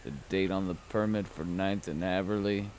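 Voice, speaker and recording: A man playing a brooding, world-weary private detective, narrating a noir audio drama in terse, deadpan, wry lines with a gravelly voice. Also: low voice, normal speed, a little hiss or hum, lightly acted. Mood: grim, reflective.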